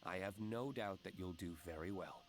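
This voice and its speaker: A man playing a composed, courteous young tavern owner in a video game, measured and reserved. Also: deep voice, very noisy, lightly acted. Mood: reserved, gracious. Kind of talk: video game character acting.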